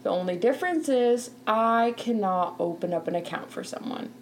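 Young woman, host, casual chat, laughs often, filler-heavy, mid-pitched voice. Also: expressive, normal speed, slight background noise. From Canada. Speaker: podcast host.

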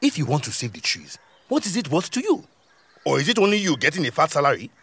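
A man's voice: fairly high pitch, wildly swooping pitch, fast, fairly bright tone, breathy edge, exaggerated voice. A man with a Nigerian accent acting a stubborn, mocking village community leader in an audio drama, arguing with rhetorical questions. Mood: scornful, challenging.

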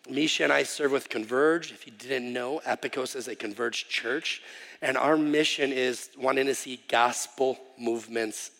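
Gravelly-voiced man preaching in a reverberant church. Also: mid-range voice, fairly bright tone. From Wisconsin, USA. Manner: chatty, self-deprecating, with frequent ums and restarts. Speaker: missionary guest preacher. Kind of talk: casual sermon introduction. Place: church sanctuary. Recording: live church hall reverb.

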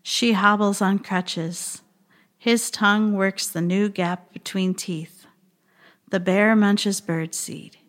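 A woman reciting a poem with measured, deliberate phrasing. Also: medium pitch, fairly even intonation. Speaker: poet or reader reciting a poem. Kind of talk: slow poetry reading.

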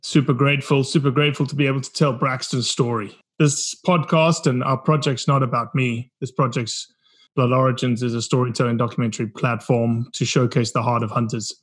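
South African man, forties, earnest guest, level even tone, mid pitch. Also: a little fast, breathy edge. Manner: earnest, reflective storytelling. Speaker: podcast guest, documentary founder. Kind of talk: casual interview talk.

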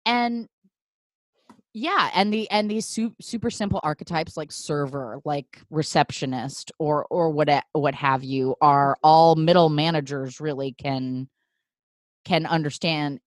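Wry, lower-voiced woman host. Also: unhurried, no echo, studio quality. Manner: thoughtful, wry, many restarts. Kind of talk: casual chat.

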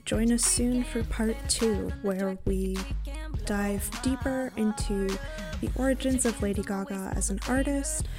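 A woman's voice: mid-range voice, fairly even intonation, unhurried. Woman reading a podcast outro over music. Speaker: podcast host. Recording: music bed under voice.

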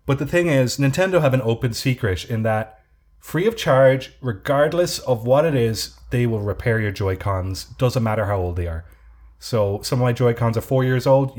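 A man chatting casually on a podcast, telling a drawn-out story with many restarts. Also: mid-range voice, a little mellow. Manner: rambling storyteller with frequent restarts. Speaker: podcast co-host. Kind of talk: casual chat.

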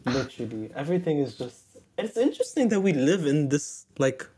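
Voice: mid-range voice, sing-song, a little fast, mellow, husky. A podcast host asking questions and making playful asides in a casual interview.

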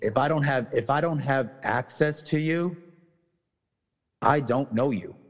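A man co-hosting a casual podcast, talking bluntly with many restarts and swearing, his voice going hoarse. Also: mid-range voice, a little slow, big-room sound. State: losing his voice. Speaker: podcast co-host.

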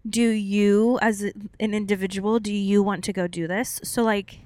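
A woman's voice: medium pitch, steady tone, a little slow, a little mellow, clean tone, no room sound. Young woman on a podcast, rambly, says like a lot, laughs a bunch. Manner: chatty, long-winded, laughs often, many "like" fillers. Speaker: podcast co-host. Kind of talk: casual chat.

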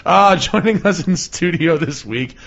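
A man's voice: on the high side, expressive, unhurried, vocal fry, a bit bright, highs cut off. A man hosting a casual podcast chat, joking and swearing as he rambles. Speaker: podcast host.

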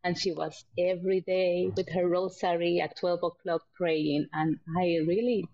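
Lower-voiced Puerto Rican woman, dull audio. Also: steady tone, normal speed, warm tone. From Puerto Rico. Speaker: podcast guest, herbalist and women's health educator. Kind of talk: reflective personal storytelling.

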